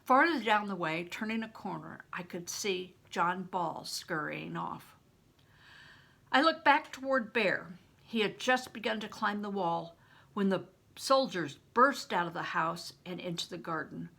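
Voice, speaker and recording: Bright, creaky older woman reading a story. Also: fairly low pitch, animated, measured pace. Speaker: retired reading teacher, storyteller. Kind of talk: expressive audiobook-style reading of a children's novel.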